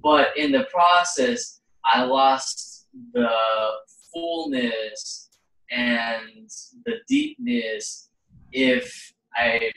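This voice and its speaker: A man hosting a casual interview in halting, broken-up phrases, recorded in a room at some distance from the microphone. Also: medium pitch, fairly bright tone. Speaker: host, interviewer and singer. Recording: roomy, distant microphone pickup.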